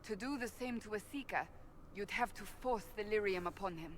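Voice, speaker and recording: A woman voicing a proud, stubborn warrior in a fantasy game, speaking formally, earnestly and deliberately. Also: medium pitch, a little hiss or hum, close to an everyday voice. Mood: serious, determined. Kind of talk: dramatic video game dialogue.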